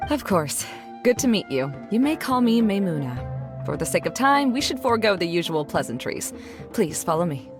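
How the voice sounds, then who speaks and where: A woman's voice: medium pitch, very animated, normal speed, music in the background, close to an everyday voice. A woman playing a blunt, businesslike female scholar in an anime video game, formal and direct.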